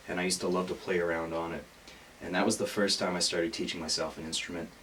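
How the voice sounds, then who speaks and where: An American man speaks in a lowish voice, a little away from the mic, with faint noise around him. He sounds earnest and pauses as he shares a personal biography. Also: a bit bright, distant mic, low-quality recording. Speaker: musician, singer-songwriter. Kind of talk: personal biography told for an audition.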